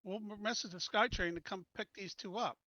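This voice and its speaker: A man with a bright, forward voice, pitched a little high, plays a tabletop roleplaying game. He casually talks through plans with the group. The sound is dry and slightly muffled. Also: brisk, no echo. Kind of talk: casual in-game discussion and planning.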